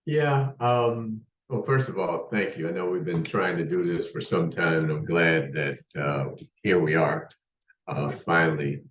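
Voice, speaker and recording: A man's voice: low voice, measured pace, a bit bright, a little hiss or hum. A man in his sixties, a podcast guest, telling his life story in a rambling way with many restarts. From United States. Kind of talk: casual interview storytelling. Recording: distant roomy muffled remote mic.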